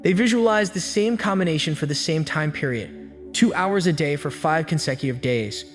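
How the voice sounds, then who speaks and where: A man giving scripted self-help narration with an even, steady storytelling delivery. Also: fairly high pitch, normal speed. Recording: long hall reverb with faint music. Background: faint background music.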